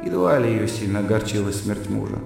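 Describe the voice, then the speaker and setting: An actor in a radio play voicing a reserved young scholar's musing, dry inner monologue. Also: male voice, on the low side, animated, a little fast, vocal fry, a little mellow. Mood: wry recollection. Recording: heavy echo with music behind.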